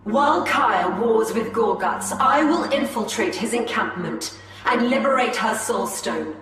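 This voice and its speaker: An actress playing a resolute, solemn elf seer in a video game, with formal, measured speech. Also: female voice, on the high side, lively intonation, a bit bright. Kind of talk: video game cutscene acting. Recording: cavernous reverb, distant, over music.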